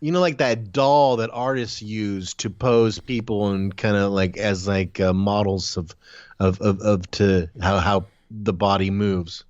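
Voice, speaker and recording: A man co-hosting a podcast, chatting casually about a film in halting, rambling sentences. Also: lowish voice, steady tone, darkish tone, no room sound, muffled recording. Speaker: podcast co-host. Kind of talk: casual movie discussion.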